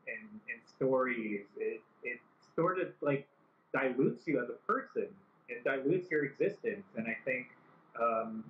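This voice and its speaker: A man talking as a guest film director in an interview, earnest and full of "like" fillers. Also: mid-range voice. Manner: earnest, many "like" fillers and restarts. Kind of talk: interview conversation. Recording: echoey, dull-sounding recording.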